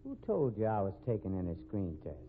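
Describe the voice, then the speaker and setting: Cocky cowboy on thin old radio. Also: male voice, fairly low pitch, huge pitch swings, fairly quick, narrow, tinny audio. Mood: angry. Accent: American. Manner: folksy Western drawling slang. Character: cocky, evasive rodeo cowboy, confident bronc rider. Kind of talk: 1950s radio drama acting. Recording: thin, noisy vintage radio recording.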